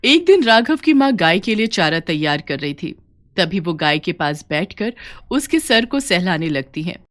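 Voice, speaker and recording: A woman storyteller reads a scripted cartoon folk tale. Her voice sits a little low for a woman and moves up and down in a very lively way. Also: normal speed.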